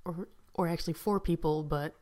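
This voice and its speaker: A woman chatting casually about books she has read, rambling with fillers and asides, sometimes dropping to a soft whisper. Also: low voice, fairly even intonation, moderate pace. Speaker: book vlogger. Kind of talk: casual book review chat.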